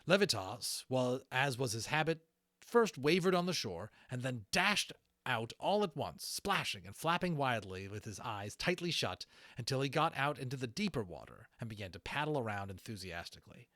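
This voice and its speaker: A man narrating a fantasy audiobook in an animated storytelling style, with informal asides. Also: mid-range voice, moderate pace, no room sound, clean studio sound.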